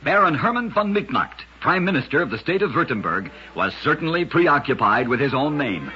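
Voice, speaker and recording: A man narrating short scripted stories as host of a vintage radio program, with a husky, gritty voice and a dramatic storyteller's delivery, on an old radio recording. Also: on the high side, big pitch swings, a little fast, bright, forceful tone, lo-fi sound.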